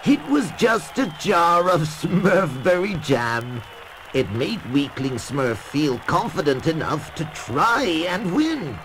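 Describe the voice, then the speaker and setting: A man acts a wise old cartoon elder on a storybook record. He is reassuring and instructive, speaking slowly in a fairly high voice that moves widely in pitch. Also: sing-song, fairly bright tone, slight background noise, heavily acted, poor audio. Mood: kindly, encouraging. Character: kindly, wise old male Smurf elder. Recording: children's record with music and crowd.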